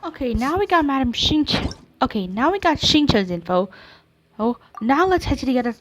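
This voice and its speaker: A woman's voice: high voice, big pitch swings, a little fast. A woman giving casual commentary as she plays a video game. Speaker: gamer.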